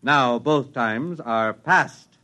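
A man playing a brisk, self-assured executive of the future in a 1950s radio drama, clipped, formal and commanding. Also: medium pitch, bright, forceful tone.

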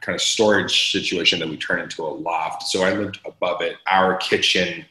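Young man, from Los Angeles, very deep voice, roomy echo, chatty with laughs. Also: steady tone, moderate pace, bright, forceful tone. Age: young adult. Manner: chatty, laughs often, lots of fillers. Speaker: entrepreneur guest. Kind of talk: casual interview chat.

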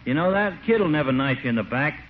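Guy doing loudmouth folksy barber, slangy, repeats himself, old radio comedy, dull hissy sound. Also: fairly high pitch, lively intonation, fairly quick, lightly acted. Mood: emphatic, folksy. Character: brash, opinionated small-town barber, loyal and folksy. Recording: muffled, noisy vintage radio recording.

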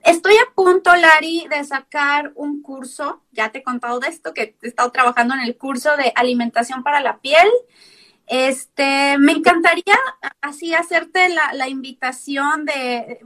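A woman's voice: high-pitched, fairly bright tone. A woman nutrition coach giving encouraging advice in a casual interview.

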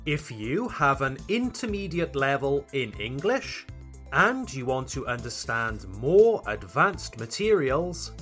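Man, light Welsh accent, podcast host, slow careful delivery, lively animated intonation. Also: mid-range voice, faint music behind. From Wales. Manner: carefully articulated, teacherly, encouraging. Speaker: podcast host and English teacher. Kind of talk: scripted podcast narration for learners.

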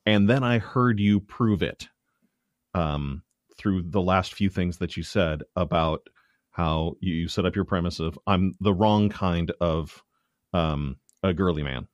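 A man's voice: sits low, measured pace, no room sound, studio quality. A man co-hosting a podcast, eagerly reflecting on what a guest just said. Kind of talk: casual podcast interview.

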